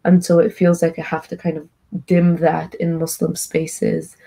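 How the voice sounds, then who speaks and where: Earnest young woman, low mellow voice. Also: monotone, measured pace, gravelly, slightly rough. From Egypt. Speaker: panelist. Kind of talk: panel discussion on video call. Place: small room indoors. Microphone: laptop webcam video call.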